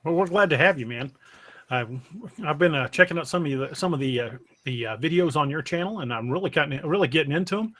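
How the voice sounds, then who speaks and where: Higher-voiced man hosting, folksy and chummy. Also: lively intonation, fast talker, a bit bright. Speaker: podcast host. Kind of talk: casual livestream hosting.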